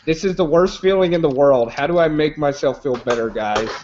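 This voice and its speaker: A man's voice: on the high side, fairly quick, dull audio, background noise. Rambling young man hosting a hangout. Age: 20s. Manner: rambling, teasing, reads out questions. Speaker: hangout host. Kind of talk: casual group video chat.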